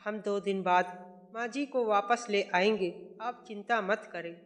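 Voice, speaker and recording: A man's voice: high-pitched, fairly even intonation, unhurried. A man reading a polite young daughter-in-law. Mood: pleading. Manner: soft, polite and pleading. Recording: long hall reverb.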